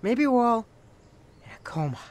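Woman playing high, melodramatic teen girl. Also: very animated, normal speed, a little mellow, no echo. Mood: exasperated. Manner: melodramatic, whiny outrage. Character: self-absorbed, phone-obsessed teenage girl in middle-aged man's body. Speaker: amateur fan-dub voice actor. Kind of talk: film fan dub acting.

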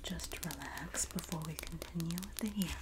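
A woman's voice: deep voice, heavy background noise, close to an everyday voice. Whispering, sassy Jersey girl, acted by a woman. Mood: soothing. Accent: New Jersey. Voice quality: breathy, whispery. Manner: slow, gossipy, doting, dramatic emphasis. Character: sassy, supportive Jersey girl pampering a friend. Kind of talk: ASMR roleplay, soft spoken and whispering.

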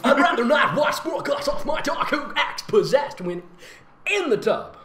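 Story role: reader acting impatient, sarcastic teen girl, strained, loud and high, roomy sound. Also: sing-song, normal speed, soft background music. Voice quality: strained, shouty, like a cartoon knockoff. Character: hardcore, impatient, sarcastic fourteen-year-old girl in a story.

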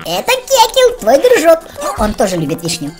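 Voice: very high voice, huge pitch swings, normal speed, full character voice. A performer voicing a playful cartoon lion who hosts a children's game let's-play, exclaiming and talking to young viewers. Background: children's game music.

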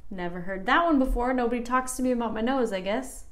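Chatty American woman with a high voice. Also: quick pace. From United States. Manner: chatty, self-deprecating, lots of "like" and laughs. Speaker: vlogger learning Basque. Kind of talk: casual chatty vlog. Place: living room at home, indoors.

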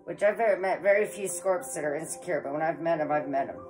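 Woman, 40s, home tarot reader filmed by phone across a table in her living room; chatty, stuffy from a cold, clear music underneath. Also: deep voice, fast, darkish tone. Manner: chatty, rambling, says "guys" often. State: head cold, sniffling. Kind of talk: casual tarot reading to camera. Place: living room with electric fireplace, indoors.

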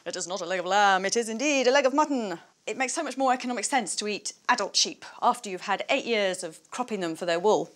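A woman's voice: medium pitch, lively intonation, fast, hardly any pauses, fairly bright tone. A woman historian presenting, chatting and explaining as she works in a farm cottage kitchen, laughing now and then. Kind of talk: casual demonstration to camera.

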